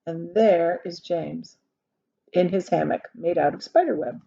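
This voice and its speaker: A woman reading a children's story aloud like a teacher, expressively, pausing to explain things in simple asides. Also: lowish voice, gravelly, warm tone. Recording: dull, lo-fi sound.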